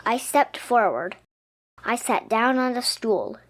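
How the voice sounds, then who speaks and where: Slow, higher-voiced woman reading a storybook. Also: very animated. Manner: dramatic, with emphatic pauses. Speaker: picture book reader. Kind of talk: expressive children's storybook narration. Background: music.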